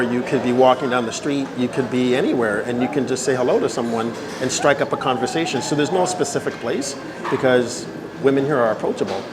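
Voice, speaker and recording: A chatty man in his fifties from Canada talks freely as an interview guest, often tagging his points with a quick "right?". His pitch sits in an ordinary middle range. A busy crowd murmurs clearly behind him. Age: 50s. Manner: talkative, repeats "right?" for agreement. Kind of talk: casual interview answers.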